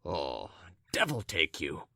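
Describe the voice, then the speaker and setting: A man's voice: a bass voice, huge pitch swings, slow pace, gravelly, bright, forceful tone, no room sound, studio quality, pitched below the performer's own voice, heavily acted. A man reading an audiobook, voicing an irritable, impatient young man who answers curtly and mutters grudgingly. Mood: annoyed muttering. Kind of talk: audiobook character dialogue.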